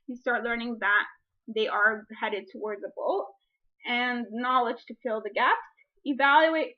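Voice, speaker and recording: A woman's voice: fairly high pitch, unhurried. A woman speaking as a teacher, reading a picture book expressively and explaining reading strategies. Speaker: teacher demonstrating a read-aloud. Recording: dull lo-fi recording.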